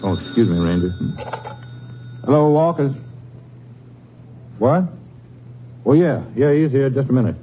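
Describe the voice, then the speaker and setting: A man's voice: mid-range voice, sing-song, unhurried, pauses often, slightly rough. An actor playing an evasive, nervous small-town feed barn man with a stammering, folksy manner in a 1950s radio drama. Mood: flustered. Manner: stammering, hedging, folksy grammar. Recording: tinny, noisy old radio recording.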